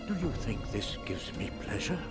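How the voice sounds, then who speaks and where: An actor playing a self-righteous older cult-leading doctor, paternal and theatrical, in a video game. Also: male voice, fairly low pitch, big pitch swings, normal speed. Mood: stern, commanding. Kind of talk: dramatic video game cutscene acting. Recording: music behind the voice.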